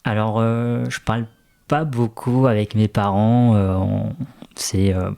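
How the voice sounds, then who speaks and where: Low-voiced man, halting and thoughtful. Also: steady tone, creaky, mellow, clear, resonant tone. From France. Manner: hesitant, many "euh" fillers, thoughtful. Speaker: interview guest, restaurant co-founder. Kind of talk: casual interview talk.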